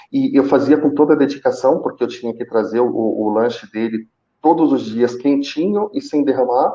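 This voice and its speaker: A Brazilian man speaking Portuguese with a Brazilian accent, telling his own story conversationally as an interview guest, haltingly, with repeated words and pauses. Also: mid-range voice, mellow, lo-fi sound. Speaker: guest, call center senior manager. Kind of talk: conversational personal account in a live interview.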